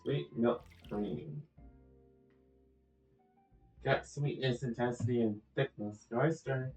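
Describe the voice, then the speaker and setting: A man's voice: medium pitch, takes it slowly, darkish tone, recorded from a distance. A man giving casual let's-play commentary, rambling and thinking aloud as he plays. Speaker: game streamer playing through a story quest. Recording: echoey, dull audio with music. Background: game music.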